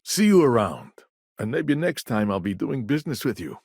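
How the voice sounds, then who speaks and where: A man acting a shrewd, self-assured businessman in a video game, with a wry, smooth-talking manner. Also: medium pitch, sing-song, normal speed, a little mellow, no echo, clean studio sound. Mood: businesslike.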